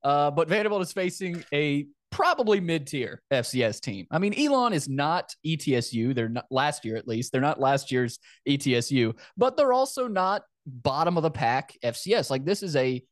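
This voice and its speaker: A man's voice: fairly high pitch, very animated, moderate pace, few pauses, no echo. Male sports podcast host, dry close sound. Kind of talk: casual sports talk.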